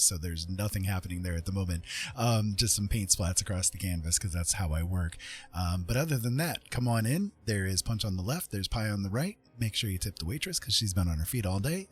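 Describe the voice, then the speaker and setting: A man hosting a casual art livestream, talking in a friendly, welcoming, rambling way. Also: low voice. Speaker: livestream host, digital artist. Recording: music playing behind the voice. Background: music.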